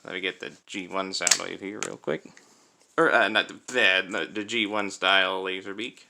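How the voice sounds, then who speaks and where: A man's voice: low-pitched, fairly even intonation, normal speed, creaky, pushed and bright, a little hiss or hum. A man giving a casual hands-on demonstration as a toy reviewer, explaining things step by step.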